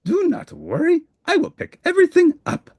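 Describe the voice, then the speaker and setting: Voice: very high-pitched, big pitch swings, moderate pace, mellow, no echo, voice pushed up. A performer voicing an eager, anxious, polite furry monster for a storybook, with formal, dramatic exclamations. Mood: apologetic, helpful. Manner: exclaiming, formal, dramatic wording without contractions. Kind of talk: storybook character voice.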